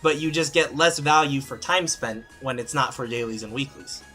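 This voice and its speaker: A man's voice: medium pitch, moderate pace, pushed and bright, soft background music. A man streaming a game, answering chat questions casually with plenty of fillers and restarts. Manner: rambling, many fillers and restarts.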